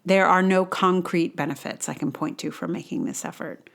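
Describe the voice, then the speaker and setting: A woman's voice: lowish voice, steady tone, normal speed, gravelly, a bit bright. A woman hosting her own podcast, sharing personal reflections in a conversational, wry and self-deprecating way. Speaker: podcast host and audio drama producer. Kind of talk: personal essay read aloud, then casual aside.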